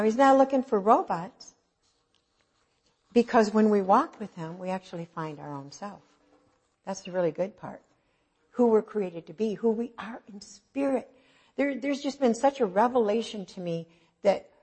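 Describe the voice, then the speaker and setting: A woman with a low voice preaching an informal, earnest sermon, swinging from loud to soft. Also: husky.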